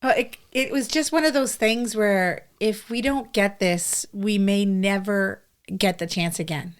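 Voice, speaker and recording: A woman's voice: mid-range voice, unhurried. A middle-aged woman chatting casually as a podcast co-host. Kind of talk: casual conversational chat.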